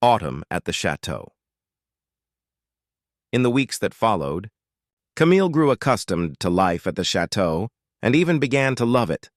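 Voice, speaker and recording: A British man with a fairly low voice reads a bedtime story. His delivery is gentle and measured, yet very melodic, moving freely up and down. The recording is close and dry. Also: very animated, no echo. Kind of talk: scripted fairytale narration for sleep.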